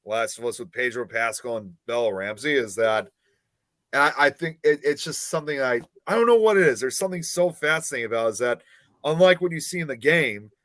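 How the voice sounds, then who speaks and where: A man's voice: medium pitch, pushed and bright, no echo. A man co-hosting a casual podcast, chatty and fond of sharing trivia. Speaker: podcast co-host.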